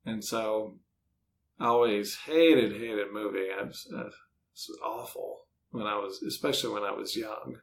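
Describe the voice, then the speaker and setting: A man's voice: fairly low pitch, measured pace, creaky, a little hiss or hum, poor audio. A middle-aged American man chatting casually and easily as an author being interviewed. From United States.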